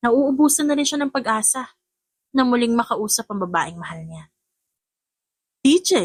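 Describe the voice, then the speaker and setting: A woman with a high voice narrates a scripted romance audiobook. Her reading is dramatic, and the recording is dry, with little room sound. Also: dry recording.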